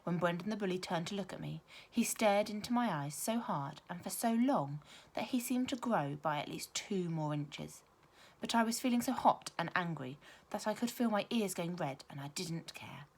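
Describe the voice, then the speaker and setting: A woman with a fairly low voice reads a children's story aloud. She is expressive and teacherly, placing careful emphasis on words and pausing for drama. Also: slightly rough. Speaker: primary school teacher reading aloud.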